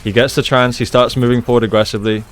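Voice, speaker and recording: A man giving gameplay analysis commentary as a coach, explaining in a measured way and repeating phrases for emphasis. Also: medium pitch, little pitch movement. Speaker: esports coach, video host.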